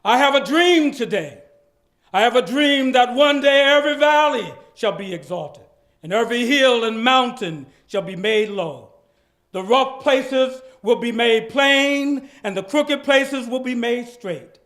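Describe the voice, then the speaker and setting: A man's voice: very high-pitched, lively intonation, a little slow, fairly bright tone. A man giving a dramatic reading of a speech in preacher-like civil rights oratory, emphatic and declamatory, drawing out phrases and leaning on repetition. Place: live public event at a heritage center.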